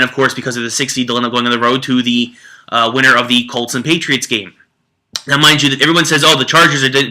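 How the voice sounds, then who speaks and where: A congested man with a very bright, sharp, forceful voice hosts a casual sports show from his home man cave. He talks candidly and rambles, breaking off to correct himself as he goes. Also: mid-range voice. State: congested with flu.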